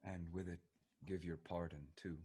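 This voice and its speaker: A man playing a sardonic, hapless grumbling gentleman in a theatrical period comedy, with a raspy voice. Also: low-pitched, level tone, normal speed. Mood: sheepish.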